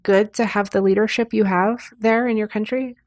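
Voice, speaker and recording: A woman's voice: medium pitch, steady tone, normal speed, darkish tone. A woman hosting a podcast, asking questions in a friendly, upbeat way and reading the show's outro. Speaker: podcast host.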